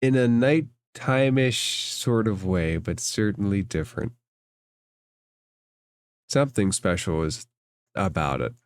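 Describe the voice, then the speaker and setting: Smooth-voiced man narrating a tabletop game. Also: lowish voice, lively intonation, slow pace, long pauses. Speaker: game master.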